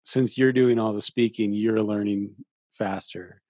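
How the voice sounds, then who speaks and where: A man with a low voice hosts a podcast interview. He asks short questions in a casual, loose way, using "like" often. His audio is dry with no room echo. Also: steady tone, unhurried, darkish tone. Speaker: podcast host.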